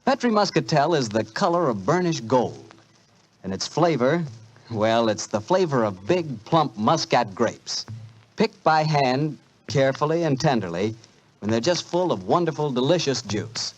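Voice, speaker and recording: A male radio announcer in 1940s style delivers smooth sales patter. His medium-pitched voice rises and falls with lots of variety. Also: very animated, measured pace, poor audio.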